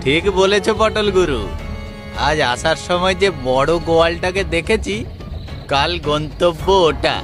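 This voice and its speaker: A man's voice: fairly high pitch, measured pace, lightly acted. A man voicing a burly, bullying cattle thief, the boss's henchman, in a children's cartoon, with theatrical villain delivery. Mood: eager, fawning. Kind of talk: Bengali children's cartoon dialogue. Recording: music behind the voice.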